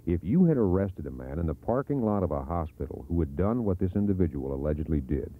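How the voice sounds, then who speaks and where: Deep-voiced American radio host on AM. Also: male voice, animated, fast. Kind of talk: live call-in morning radio talk. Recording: lo-fi narrowband AM radio aircheck.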